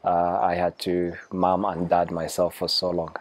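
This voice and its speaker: A man's voice: low voice, completely flat delivery, unhurried, slight background noise. A young man from Rwanda, a gym owner, speaking in an interview, hesitant and thoughtful with many restarts. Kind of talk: casual interview. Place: outdoor rooftop terrace.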